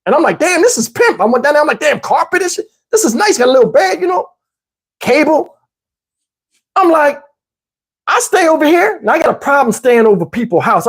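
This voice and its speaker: An American man with a husky voice telling a personal story casually on a livestream, slangy and acting out the dialogue as he goes. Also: very high-pitched.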